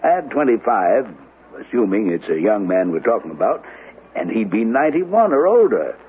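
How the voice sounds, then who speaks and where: An actor playing a thoughtful, skeptical, plain-spoken male friend in a radio drama, measured and reflective. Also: fairly low pitch, very animated. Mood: skeptical, pondering. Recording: tinny band-limited radio recording with hiss.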